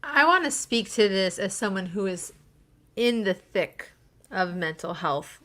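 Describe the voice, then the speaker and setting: Bright, earnest woman hosting a panel. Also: medium pitch, lively intonation, unhurried. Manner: earnest, self-described extrovert, open about struggles. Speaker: panel host. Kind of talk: panel discussion.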